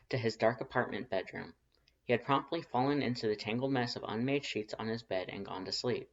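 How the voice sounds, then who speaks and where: Low-key story narrator on a dull recording. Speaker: fan fiction narrator.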